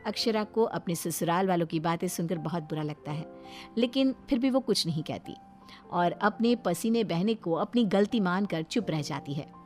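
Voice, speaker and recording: A woman's voice: on the low side, lively intonation, moderate pace, a little mellow, faint music behind. A woman reading scripted narration for a cartoon story, as its storyteller.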